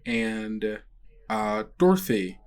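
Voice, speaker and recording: A man's voice: lowish voice, lively intonation, drawn-out words. A man narrating a tabletop game as game master, improvising hesitantly in a casual way. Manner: hesitant, frequent "like" and "kind of".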